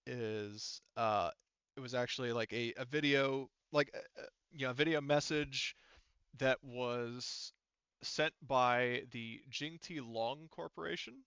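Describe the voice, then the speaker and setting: Man, game master, clear smooth voice, halting casual narration, dry sound, slightly dull recording. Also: mid-range voice, a bit bright, no room sound. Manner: halting, rambling, filler-heavy explanations.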